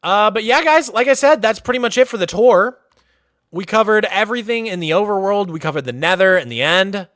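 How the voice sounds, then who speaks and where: A man's voice: high voice, brisk, dry recording, clean studio sound. A man from Massachusetts streaming casually, chatting loosely with lots of "like" fillers as he tours a game world. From Massachusetts, USA. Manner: relaxed and chatty, many "like" fillers. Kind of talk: casual livestream commentary, touring a game world.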